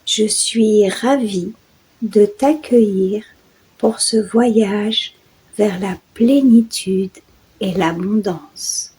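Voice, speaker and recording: A woman guides a sleep meditation at an extremely unhurried pace. Her voice is mellow and very breathy, slipping often into a soft whisper. The delivery is hushed, soothing and deliberate. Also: medium pitch, poor audio. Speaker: guided meditation host and yoga nidra guide.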